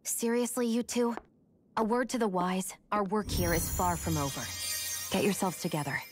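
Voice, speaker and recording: An actress playing a proud, no-nonsense young woman in a video game, blunt and sharp-tongued. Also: medium pitch, close to an everyday voice. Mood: stern. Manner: brisk, blunt, admonishing. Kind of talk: video game cutscene acting. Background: music.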